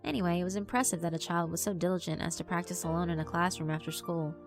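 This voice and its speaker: A woman's voice: fairly low pitch, fairly even intonation, quick pace. A woman giving scripted narration for a visual novel, reading as a storyteller. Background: background music.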